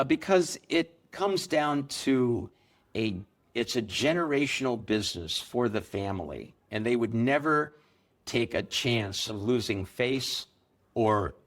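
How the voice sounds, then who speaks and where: Middle-aged man explaining a trade. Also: medium pitch. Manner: explanatory, anecdotal, with occasional laughs. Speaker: gold business co-founder, guest. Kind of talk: conversational interview answers.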